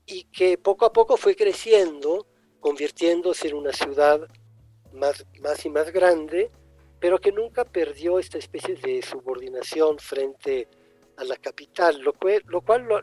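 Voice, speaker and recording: An older man raised in Italy with Italian as his first language, a writer reflecting slowly in an interview, often pausing and restarting. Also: a little high, a little mellow, no echo. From born in Egypt, raised in Italy. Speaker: writer guest. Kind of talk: thoughtful interview answers.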